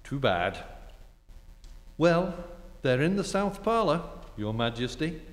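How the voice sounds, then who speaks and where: A man acts a roguish, mock-gallant ex-husband in an old radio comedy. He takes his time, with big swoops in pitch. The stage hall echoes around him. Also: very animated. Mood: smug. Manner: sardonic, mock-gallant, unhurried. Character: suave, teasing, roguish ex-husband. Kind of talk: staged 1940s-style radio comedy acting. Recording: echoey theatre hall recording.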